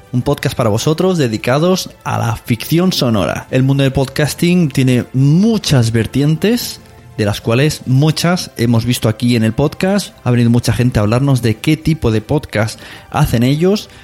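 A man with an accent from Spain speaks as a podcast host. He introduces things conversationally in a mid-pitched voice, with faint music behind him. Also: animated, normal speed, a little mellow.

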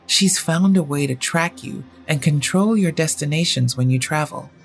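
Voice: medium pitch, moderate pace, faint music behind, close to an everyday voice. A storyteller voicing a guarded, fanatically determined male inventor who explains himself in a formal, grave manner in an audio story.

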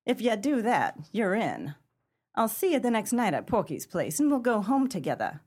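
A woman playing a tough, proud 1930s gang leader in a pulp crime radio play, with brassy, slangy gangster talk and a husky voice. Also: mid-range voice, very animated, moderate pace, a little mellow. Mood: tough, challenging.

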